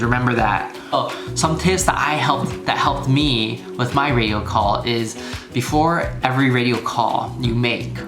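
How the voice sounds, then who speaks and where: A man giving a casual, informal tutorial as a video instructor, repeating key phrases for emphasis. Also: mid-range voice. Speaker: flying instructor, video host. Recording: roomy echo, music behind. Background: background music.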